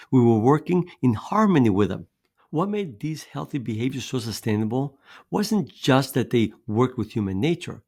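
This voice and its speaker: Gravelly Greek-raised man pitching a method. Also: darkish tone. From Greece. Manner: earnest and persuasive, with occasional repeated words and fillers. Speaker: fitness coach presenting his weight loss method. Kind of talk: scripted motivational presentation.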